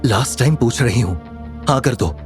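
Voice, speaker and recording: Man reading as a stubborn rich young woman, animated. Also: medium pitch, moderate pace, soft background music, lightly acted. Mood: irritated, threatening. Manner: demanding, insistent.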